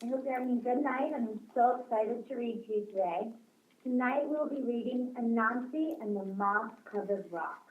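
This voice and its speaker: A woman's voice: a little high, normal speed. A woman reading a storybook aloud to children as a guest teacher, talking directly to them. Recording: roomy, phone-like webcast with faint noise.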